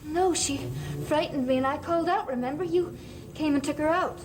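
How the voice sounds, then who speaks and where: A woman's voice: high voice, a little fast, a little hiss or hum, natural voice. An actress playing a wounded, lonely and anxious young woman in a gothic soap opera, with music behind her. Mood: shocked, troubled. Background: dramatic music behind the voice.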